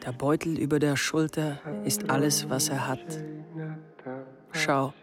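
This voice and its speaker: Man as a flat-toned, wistful young lover. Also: a little high, a little slow, over music, natural voice. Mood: wistful, tender. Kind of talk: quiet German radio play acting.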